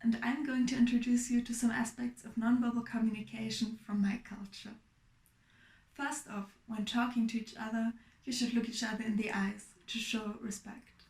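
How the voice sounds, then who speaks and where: A young woman from Germany giving a clear, explanatory class presentation as a student. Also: a little high, little pitch movement, a little mellow, echoey room, poor audio. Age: young adult. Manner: clear, explanatory, measured with fillers.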